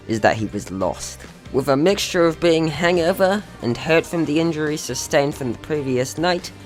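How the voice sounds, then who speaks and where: A young person narrating a scripted story in a mock-serious way. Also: mid-range voice. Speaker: video creator narrating own short film.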